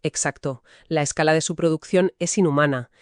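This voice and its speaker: A woman's voice: deep voice, a little fast, no room sound, clean studio sound. A woman co-hosting a book discussion, giving emphatic, conversational literary commentary.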